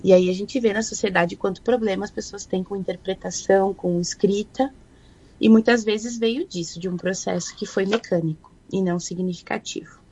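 A woman talks in an easy, reflective manner. Her voice sits at an ordinary middle pitch. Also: fairly even intonation, moderate pace, warm tone, slightly rough, rough recording. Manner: reflective, thoughtful. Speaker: guest educator. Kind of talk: conversational explanation.

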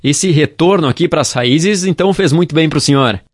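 A man's voice: fairly high pitch, moderate pace, no echo, studio quality. A man with a southern Brazilian accent from Rio Grande do Sul, interviewing politely on local radio.

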